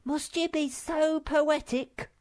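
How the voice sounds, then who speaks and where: A woman voicing a small, excitable male creature in a storybook reading, speaking in an eager, boastful way. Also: sits high, slow pace, pitched above the performer's own voice. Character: high squeaky excitable little male creature.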